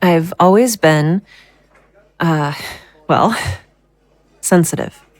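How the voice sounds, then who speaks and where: A woman acting a fragile, troubled psychic in an audio drama, husky and hesitant in her speech. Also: fairly low pitch, extremely slow, dry recording. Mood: subdued, hesitant. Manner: hesitant, faltering. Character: distraught, fragile psychic woman at breaking point.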